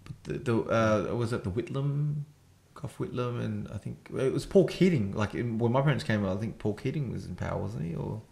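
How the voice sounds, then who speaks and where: A man's voice: mid-range voice, fairly quick. A man with a Western Sydney Australian accent co-hosting a podcast, telling a rambling anecdote full of fillers and swearing. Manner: rough-edged, animated storytelling. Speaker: podcast co-host. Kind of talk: casual chat among friends.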